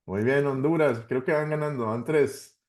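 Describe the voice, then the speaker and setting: A man's voice: mid-range voice, moderate pace, darkish tone. Colombian guy, pastor type, casually running an online class, mid-range voice, encouraging. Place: online video call.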